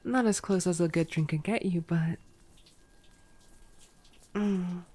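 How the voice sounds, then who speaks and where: A woman's voice: lowish voice, takes it slowly, dark tone, slightly rough. A woman playing a sarcastic, teasing female vampire in an ASMR roleplay audio drama, playful and exasperated. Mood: relieved, content.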